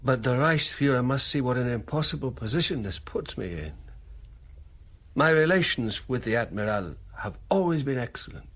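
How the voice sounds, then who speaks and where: An actor playing a dutiful, uneasy German army colonel in a radio drama, formal and guarded, with a husky voice. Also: male voice, mid-range voice, expressive, moderate pace, fairly bright tone. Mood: uneasy deference. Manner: formal, guarded, deferential yet firm. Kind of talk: broadcast radio drama acting.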